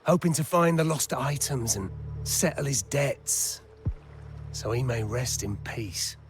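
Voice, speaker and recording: An actor playing a weary, bitter, grieving man in a video game, speaking in a measured, resigned, dramatic way. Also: medium pitch, lively intonation, over music. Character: weary, bitter man grieving his lost friend and past. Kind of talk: scripted video game voice acting.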